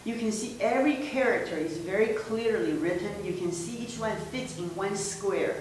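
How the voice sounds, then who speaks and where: A woman's voice: mid-range voice, moderate pace, darkish tone. A woman, presenting as a museum director in a friendly, gesturing way with many fillers. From Taiwan. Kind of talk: casual gallery presentation. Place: indoor museum room. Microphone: far camera mic. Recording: echoey room, mic far off, faint hiss.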